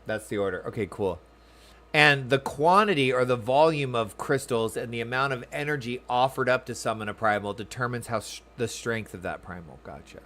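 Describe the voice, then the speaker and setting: A male streamer with a medium-pitched voice gives casual game commentary. He muses aloud and talks informally to his viewers. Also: fairly quick, pushed and bright.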